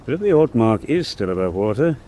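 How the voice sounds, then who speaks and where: An actor playing a deferential, brisk British naval aide in a wartime radio drama. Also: male voice, mid-range voice, big pitch swings, dark tone, lightly acted.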